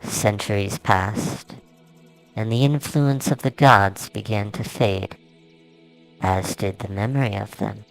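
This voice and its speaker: A man reading his own fantasy novel aloud as a dramatic, solemn, measured narration. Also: on the low side, vocal fry, low-quality recording. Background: music soundtrack.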